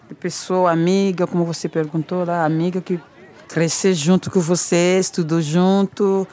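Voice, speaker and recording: A woman in her forties from Kinshasa, Congo, with a Congolese French accent. French is her first language, and she pauses to search for words as she tells her own story in an interview. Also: on the low side, darkish tone. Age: 40s. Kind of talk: personal testimony.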